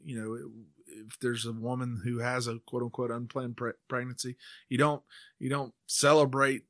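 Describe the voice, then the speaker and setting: A man's voice: mid-range voice, little pitch movement, normal speed, fairly bright tone, dry recording, clean studio sound. A man with an American accent hosting a faith podcast, talking in an informal, conversational way. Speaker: podcast host; church member speaking on faith. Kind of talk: casual discussion.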